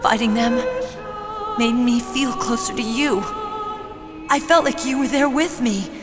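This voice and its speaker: Woman playing a tough but emotional girl soldier in a game, slow heartfelt lines, loud music behind. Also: a little high, big-room sound, lightly acted. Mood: vulnerable, tender. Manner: heartfelt, emotional, drawn-out delivery. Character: Meryl, brave, emotional young woman soldier. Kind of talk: dramatic video game voice acting.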